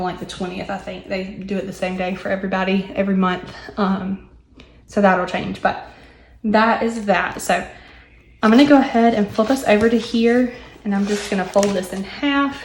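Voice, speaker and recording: A young woman with a hoarse, recovering voice, chatting casually with many ums and asides as she works through her budget. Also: medium pitch, roomy sound. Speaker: budgeting vlogger. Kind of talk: casual chat while working on paper.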